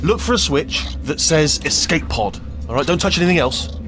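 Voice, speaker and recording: Nervous British robot sidekick, swooping pitch man acting. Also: expressive, moderate pace, over music, natural voice. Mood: fussy instructing. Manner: rambling, stammering, self-correcting, anxious babble. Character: nervous, chatty, bumbling little robot sidekick. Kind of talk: comic video game voice acting.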